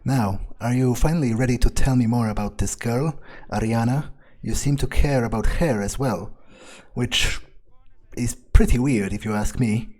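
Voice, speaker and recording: A man's voice: medium pitch, moderate pace, a little mellow, close to an everyday voice. A man roleplaying a cynical, self-serving male vampire in a game playthrough, improvising in a hesitant, muttering, dismissive way. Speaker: gamer roleplaying his character. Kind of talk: in-character game commentary, improvised.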